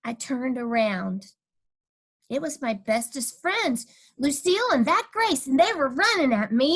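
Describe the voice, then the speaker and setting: A woman's voice: high-pitched, animated, unhurried, a bit bright, breathy edge, voice pushed up, heavily acted. A woman voicing a cheeky, stubborn kindergarten girl who tells her own story with childish, emphatic, dramatic delivery. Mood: matter-of-fact. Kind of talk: first-person children's storybook reading.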